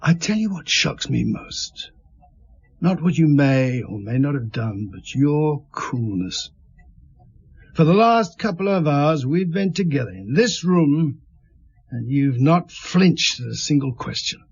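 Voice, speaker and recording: An actor playing a stern, shrewd police inspector who questions methodically and sardonically in a radio drama. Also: male voice, on the high side, sing-song, slow pace, gravelly, breathy edge, lo-fi sound, full character voice. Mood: cool, probing. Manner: pressing, methodical, sardonic interrogator.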